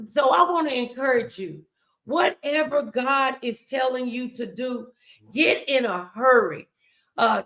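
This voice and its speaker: Bright-voiced woman hosting motivational talk. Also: high-pitched, gravelly. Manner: emphatic, encouraging, addresses viewers directly. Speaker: talk show host.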